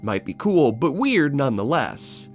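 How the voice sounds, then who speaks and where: Man, video essay narrator, animated intonation, wry, informal, dry humour, scripted with casual asides, soft music underneath. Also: medium pitch, measured pace.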